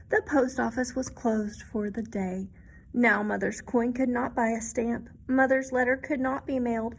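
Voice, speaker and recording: A woman's voice: fairly high pitch, low-quality recording. A woman reading a children's story aloud expressively, with simple explanations and questions to her young listeners.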